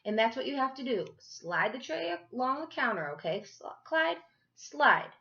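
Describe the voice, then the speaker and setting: A woman voicing a big, brisk, kindly storybook lunch lady with a large voice. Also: sing-song, vocal fry, sharp tone, dull audio, exaggerated voice. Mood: brisk, friendly. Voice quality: large, booming voice. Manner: bossy but affectionate, addressing small children.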